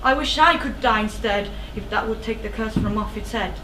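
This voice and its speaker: A woman's voice: medium pitch, normal speed, natural voice. A woman playing a humble, fearful old shepherd in a stage tragedy, deferential, pleading and evasive. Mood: sorrowful. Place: indoor theatre stage. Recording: echoey theatre, distant mic, noisy.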